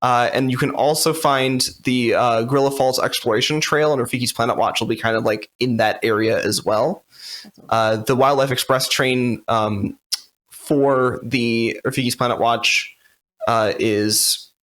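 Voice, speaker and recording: A man co-hosting a podcast, chatting casually through a walkthrough in a halting, loosely worded way. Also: medium pitch, fairly bright tone. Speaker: podcast co-host.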